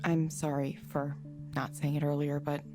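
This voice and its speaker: A woman's voice: deep voice, level tone, normal speed, over music. An actress playing a reserved, thoughtful woman engineer in a visual novel, with an understated, hesitant delivery. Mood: apologetic.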